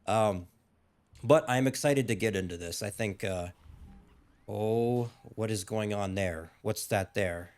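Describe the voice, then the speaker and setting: A man's voice: fairly low pitch, slow, a little mellow. A man who is a little ill and losing his voice gives rambling, hesitant, casual commentary on his gameplay. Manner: rambling, hesitant, with frequent restarts and fillers. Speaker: gaming YouTuber.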